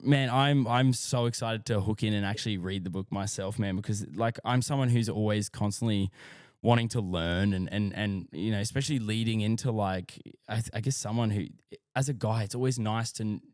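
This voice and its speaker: Laid-back Australian host, deep dry voice. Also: male voice, fairly quick, darkish tone, no room sound. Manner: enthusiastic, laid-back, swears freely, says dude and mate. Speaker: podcast host. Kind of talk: casual chat.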